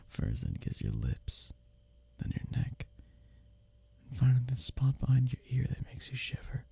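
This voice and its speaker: A man acting as a smitten, teasing workplace rival in an intimate romantic roleplay, with a breathy, husky, halting delivery that often drops toward a whisper. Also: mid-range voice, wildly swooping pitch, dark tone, no room sound, full character voice. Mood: seductive, longing.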